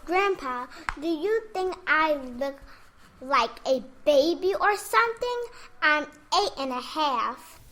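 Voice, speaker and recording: A sassy eight-year-old girl in a children's audio story. The voice is high and bright, and the words come very slowly, with plenty of feisty, excitable spirit. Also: full character voice. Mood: sassy, impatient.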